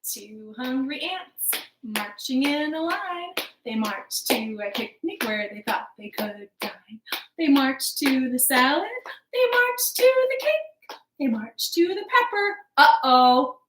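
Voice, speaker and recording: Female children's storytime host: high-pitched, slow delivery, playful and encouraging, in an echoing room. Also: noisy background. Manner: animated, encouraging, playful exaggeration for small children. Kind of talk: children's storytime, rhymes and counting. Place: living room at home. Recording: roomy, echoing home recording.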